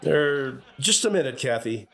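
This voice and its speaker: An exasperated, animated American radio dad. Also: male voice, medium pitch, measured pace, creaky. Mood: irritated. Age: middle-aged. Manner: impatient, sarcastic. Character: exasperated, grumbling middle-aged family father, quick to interrupt. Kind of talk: old-time American radio sitcom acting.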